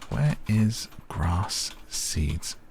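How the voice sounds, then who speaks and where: A man giving relaxed ASMR gameplay commentary, musing gently and whimsically to the listener. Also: on the low side, extremely slow, vocal fry, a little hiss or hum. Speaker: gaming video host.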